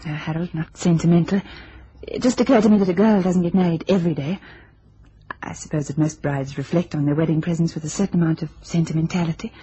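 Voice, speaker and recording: A cool, wistful young rich bride in a vintage radio play. Her voice is very husky, dark and a little low. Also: steady tone, fast talker, highs cut off. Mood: cool, reflective. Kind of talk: old-time radio drama acting.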